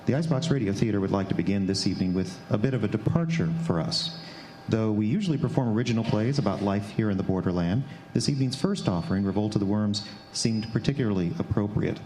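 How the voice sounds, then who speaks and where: A man's voice: lowish voice, lively intonation, quick pace, a little mellow. A man reading a scripted introduction to a radio play as a stage announcer. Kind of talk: scripted narration. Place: stage before a live audience. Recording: echoey live hall, faint noise.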